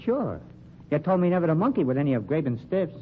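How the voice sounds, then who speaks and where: An actor playing a slow-witted, nervous night-shift underling who stammers in slangy speech, in old radio mystery style. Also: male voice, fairly high pitch, very animated. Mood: casual, deferential. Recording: tinny, noisy old radio recording.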